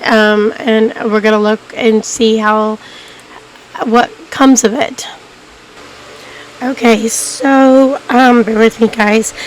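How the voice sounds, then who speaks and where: A woman with a hoarse, cracking voice, hosting a documentary-style video and talking casually to viewers, rambling with many ums and restarts. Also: on the high side, fairly even intonation, slow pace, pauses often, slight background noise, low-quality recording. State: hoarse, losing her voice. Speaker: YouTube documentary host. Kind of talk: casual unscripted narration to viewers.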